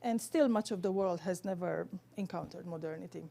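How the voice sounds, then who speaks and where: A woman with a Romanian accent giving an academic theology lecture in careful, halting, scholarly phrasing. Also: lowish voice, gravelly, mellow.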